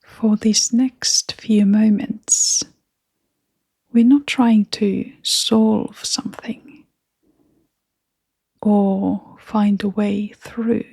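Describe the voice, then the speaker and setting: A woman leading a guided meditation in a gentle, breathy, whispery and creaky voice, speaking in short phrases with long, unhurried pauses. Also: medium pitch, flat delivery, no room sound.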